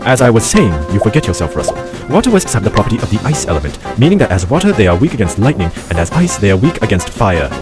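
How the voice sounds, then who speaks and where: A man's voice: on the low side, big pitch swings, fast talker. A man voicing a clever, smug scholar with a pedantic, drily sarcastic manner, in a comedic fan dub. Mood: amused lecturing. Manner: sardonic, pedantic, articulate. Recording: music behind the voice.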